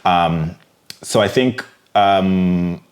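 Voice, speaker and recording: A man with a very low voice, who grew up in the Caribbean, answers interview questions in a reflective, unhurried way. He circles his points, leaning on fillers and soft hedges as he thinks aloud. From Jamaica and the Cayman Islands. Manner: thoughtful, many fillers and hedges. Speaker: guest, fashion designer. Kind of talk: casual interview conversation.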